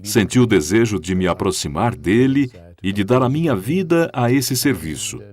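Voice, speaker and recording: Low-voiced man with a lively, measured delivery. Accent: Brazilian Portuguese. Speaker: interviewee voice-over. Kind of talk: dubbed interview voice-over.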